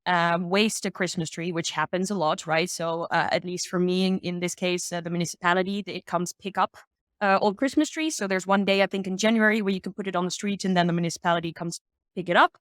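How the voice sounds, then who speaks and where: A woman's voice: lowish voice, fast talker, a bit bright, no room sound. Woman, expert guest, informal and upbeat explainer, conversational, thinks aloud, dry recording. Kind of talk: casual explanatory discussion.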